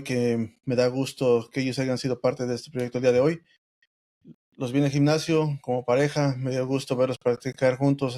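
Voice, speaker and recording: A man hosting a podcast, asking guests steady, encouraging questions in a casual interview. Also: mid-range voice. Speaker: podcast host.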